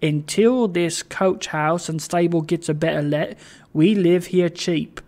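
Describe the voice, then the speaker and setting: A kindly, poor old father from a storybook, acted out by a narrator, with a creaky, gravelly voice and an unhurried pace. He is cheery and eager, rambling on and tripping over his own words in a flustered way. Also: mid-range voice, darkish tone, lightly acted. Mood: hearty, reassuring. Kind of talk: dramatised storybook reading.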